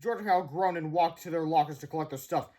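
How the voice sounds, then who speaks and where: A man reads a children's book aloud. His voice is clearly high for a man, and the delivery is scripted storybook narration. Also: fast, dark tone. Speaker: storybook reader.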